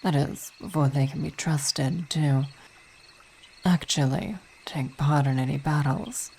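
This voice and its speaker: A dark, mellow-voiced vampire wife played by a woman in an intimate ASMR roleplay, weary and imperious but tender. She speaks in formal, hesitant phrases at an unhurried pace, with night insects chirping behind her. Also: sits low, steady tone, a little hiss or hum, rough recording. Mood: proud, composed. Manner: measured, hesitant, formal wording.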